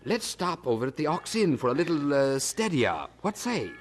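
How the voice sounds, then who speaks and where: A man's voice: on the high side, very animated, normal speed. An actor playing a genial, talkative, worldly man in an audio drama, with a chatty, stammering manner. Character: genial, talkative, blustering man, George's worldly host Tom.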